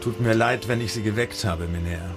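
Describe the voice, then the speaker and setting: An actor playing a matter-of-fact, probing Dutch police adjutant in a radio crime drama. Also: male voice, lowish voice, normal speed, music in the background, natural voice. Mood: polite. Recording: clear background noise under dialogue.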